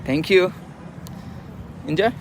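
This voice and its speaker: A man with a Bangladeshi accent vlogging live from a street, speaking in a halting, earnest, repetitive way. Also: high voice, lively intonation, vocal fry, background noise. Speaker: vlogger and refugee activist. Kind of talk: live street vlog, informal. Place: outdoors at a busy roadside protest.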